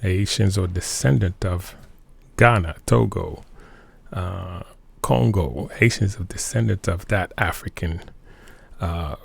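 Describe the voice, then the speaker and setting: A middle-aged man talks in a personal interview. His voice sits low with noticeable fry, captured close and dry. He searches for words and repeats short phrases to stress them. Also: no room sound. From Montreal, Canada. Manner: halting, repeats phrases for emphasis. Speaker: interview guest, military veteran.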